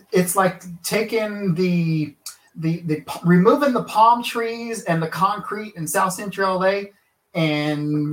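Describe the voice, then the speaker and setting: A man from Kansas, an author and lawyer, telling his life story in a casual interview, rambling with lots of fillers and restarts. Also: sits high. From Kansas, USA. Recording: echoey room.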